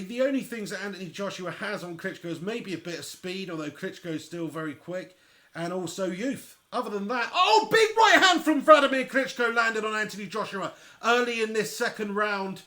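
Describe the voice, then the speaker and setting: A man giving chatty, excitable live commentary on a boxing match and talking straight to his viewers. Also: high voice. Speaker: livestream fight commentator.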